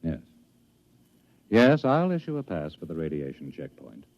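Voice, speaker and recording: An actor playing a cold, controlling director in a 1950s radio drama, speaking in a measured, patronizing and formal way. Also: male voice, low voice, huge pitch swings, noisy background, exaggerated voice. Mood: brisk, commanding. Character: cold, smooth, controlling male director of a dystopian bureau. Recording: muffled vintage radio recording.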